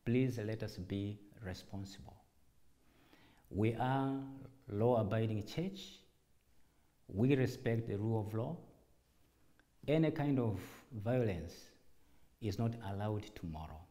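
A man speaking as a church leader in a formal public address, deliberate and emphatic, in short measured phrases. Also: on the low side, animated, warm tone. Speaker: church director and apostle giving a public address.

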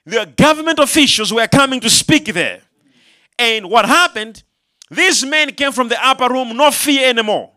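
High, bright-voiced male preacher. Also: lively intonation, normal speed. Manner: call-and-response, rising to shouts. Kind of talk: sermon.

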